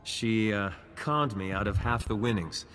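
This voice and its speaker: A man's voice: on the low side, unhurried, fairly bright tone. A man playing a meek, lovesick jilted gambler in a video game, hesitant, stammering and earnest. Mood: pleading. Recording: long reverb, music behind.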